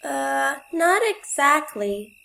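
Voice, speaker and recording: Woman playing a young female cartoon pony student, high-pitched, slow, earnest, hesitant, wide-eyed. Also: very animated, creaky, sharp tone, heavily acted. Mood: uneasy, hesitant.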